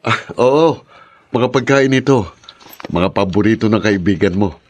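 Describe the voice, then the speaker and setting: An actor playing an earnest, devoted young bachelor suitor in a radio drama. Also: male voice, fairly low pitch, a little slow. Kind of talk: Filipino radio drama acting.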